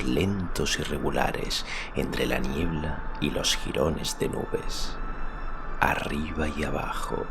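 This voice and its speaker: Narrador de voz gravísima y rasgada. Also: male voice, very deep voice. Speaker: audiobook narrator. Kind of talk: dramatic scripted narration of a fantasy story. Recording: clear ambient noise behind.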